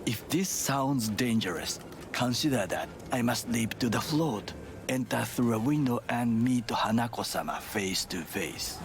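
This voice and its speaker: An actor playing a stern, formal older man with a Japanese accent in a video game, speaking in measured, deliberate phrases. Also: medium pitch, lively intonation, noisy background. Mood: measured, grave. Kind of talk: dramatic video game acting.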